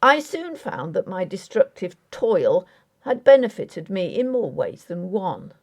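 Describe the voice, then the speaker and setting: A woman narrating a Victorian ghost story as an audiobook in a measured, literary storytelling manner. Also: medium pitch, very animated.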